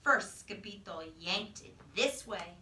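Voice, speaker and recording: A woman reading a children's story aloud as a teacher, with expressive, animated narration. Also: medium pitch, takes it slowly. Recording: echoey room, distant microphone.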